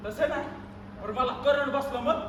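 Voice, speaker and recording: Man acting meek rural Maharashtrian workman, placating, excuse-making, repetitive, very shrill pitch, comic stage play, echoey hall. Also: moderate pace. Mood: nervous. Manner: placating, repeats short replies. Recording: echoey hall, distant mic, noisy audience.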